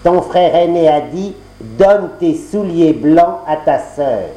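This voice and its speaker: A man voicing a sly servant who drawls slowly, in an echoey room. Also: fairly high pitch, expressive, dark tone, pitched above the performer's own voice. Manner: slow and drawn out.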